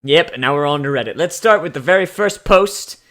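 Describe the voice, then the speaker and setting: A man's voice: on the high side, expressive, a little fast, fairly bright tone. A man giving casual comedic commentary and mock dramatic readings in a sarcastic, theatrical, foul-mouthed way. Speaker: YouTube host.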